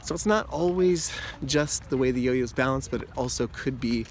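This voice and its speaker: Guy with a bit of a cold, hoarse, rambling casual explainer voice, faint room hum. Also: medium pitch, normal speed. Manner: relaxed, rambling explanation with frequent "you know" and "like". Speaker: yo-yo channel host. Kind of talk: casual explanatory talk to camera.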